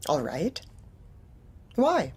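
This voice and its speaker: An actress playing a cold, controlling female corporate manager in a dark comedy audio drama, with a clipped, evasive, patronizing manner. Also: sits low, huge pitch swings, drawn-out words, breathy edge, exaggerated voice. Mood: guarded, evasive.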